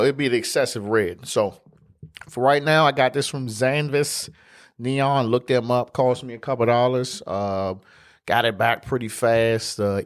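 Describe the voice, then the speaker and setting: A man, hosting a casual solo sports podcast in his home studio, stuffy and congested, talking in a relaxed, slangy way. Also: medium pitch. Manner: relaxed, conversational, loose slang. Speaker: podcast host.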